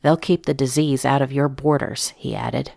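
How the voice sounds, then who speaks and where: A woman giving a measured, intimate first-person narration of a scripted drama, as if reading from a diary. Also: a bass voice, steady tone, vocal fry, warm tone, dry recording, clean studio sound. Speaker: narrator of a fiction podcast story.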